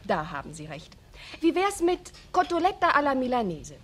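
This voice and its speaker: A woman's voice: sits high, huge pitch swings, moderate pace. An actress playing a chatty, flirtatious woman of about twenty in a radio crime drama, eager to impress. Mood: teasing. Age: 20s. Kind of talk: 1970s German radio drama acting.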